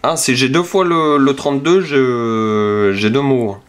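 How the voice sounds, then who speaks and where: A man speaks slowly and steadily, pitched in a middle register. He checks each number out loud in an unhurried, methodical way. Soft scratching sounds come from behind him. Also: clean tone. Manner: slow, methodical, talks through each number. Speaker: youtuber scratching lottery tickets. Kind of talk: casual narration while scratching cards.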